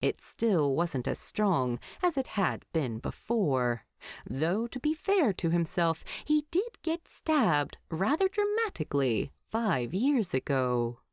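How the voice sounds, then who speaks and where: Low, expressive woman narrating fiction. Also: slow pace, no echo, studio quality. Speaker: story narrator.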